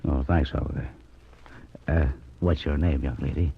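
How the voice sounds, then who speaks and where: An actor playing a genial, teasing police lieutenant in folksy 1940s radio style, with a husky voice. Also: male voice, rumbling low, steady tone, normal speed, full character voice. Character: genial, needling police lieutenant, family man. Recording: muffled vintage radio recording.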